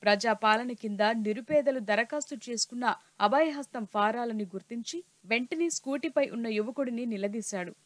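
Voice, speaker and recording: A woman reads a scripted television news report in a steady, formal manner. Her voice sits a little high, and the recording is close and dry. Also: no echo.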